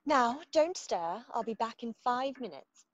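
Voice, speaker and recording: A woman playing a playful young lady of society, high-pitched and lively. She teases her way through a period radio comedy, with a slightly muffled recording. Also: a little slow, a little hiss or hum. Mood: playful, teasing. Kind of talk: amateur radio play acting of a period comedy.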